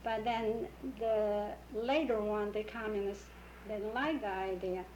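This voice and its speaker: An older woman who grew up in the Russian Empire and speaks Yiddish as her first language, telling her story slowly in an oral history interview with many pauses and restarts. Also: medium pitch, steady tone, darkish tone, lo-fi sound, a little hiss or hum. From Russian Empire, Ukraine region.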